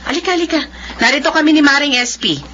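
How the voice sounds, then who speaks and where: A woman's voice: high voice, quick pace, bright, forceful tone, slight background noise. A woman playing a grateful working mother in a radio drama. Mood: cheerful, welcoming. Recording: dull radio broadcast sound.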